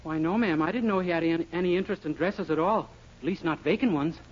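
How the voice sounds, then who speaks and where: An actor playing a naive, eager young clerk, guileless and deferential, in a 1940s radio sitcom. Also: male voice, a little high, fast, close to an everyday voice. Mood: earnest, surprised. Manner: guileless, gee-whiz, deferential. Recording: muffled, very noisy 1940s radio recording.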